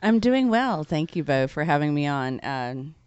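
A woman's voice: low-pitched, sing-song, moderate pace, darkish tone, smooth, no room sound, dull audio. A woman co-hosting a radio show, chatting casually and with enthusiasm. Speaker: radio co-host. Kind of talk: casual conversational radio chat.

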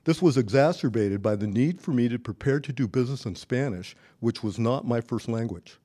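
American guy narrating his memoir, soft dark mellow tone, measured n articulate, medium pitch. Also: animated. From Los Angeles, United States. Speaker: author narrating personal memoir stories. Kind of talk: scripted narration, audiobook-like reading.

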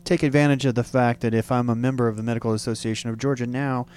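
A man's voice: mid-range voice, fairly even intonation, quick pace, a little mellow, slight background noise. A man hosting an interview, talking at length with many fillers and restating his guest's points. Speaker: radio show host. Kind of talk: interview talk.

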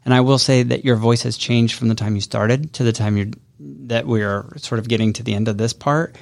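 A man's voice: medium pitch, steady tone, a little fast, vocal fry, no room sound. A man guest-hosting a call-in show who gives thoughtful, encouraging business advice. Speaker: guest host, business adviser. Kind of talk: casual chat, giving advice.

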